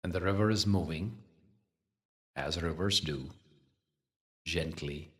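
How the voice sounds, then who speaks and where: A man with a very deep voice teaches a guided meditation. He speaks very slowly and soothingly, leaving long, measured pauses. The voice sits at some distance from the microphone. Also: fairly bright tone.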